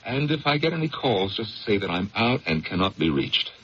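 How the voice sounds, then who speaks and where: A man's voice: fairly low pitch, very animated, moderate pace, a crowd in the background. A man playing a troubled, guarded district attorney in a 1970s radio drama, educated and hesitant under pressure. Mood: weary. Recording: muffled 1970s radio recording, faint hiss.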